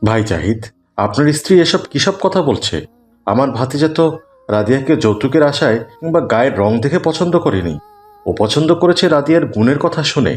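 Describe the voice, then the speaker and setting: A man's voice: medium pitch, animated, fairly quick, close to an everyday voice. A man playing a principled uncle in a cartoon drama, polite but firm. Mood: firm, indignant. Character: principled uncle arranging his nephew's marriage.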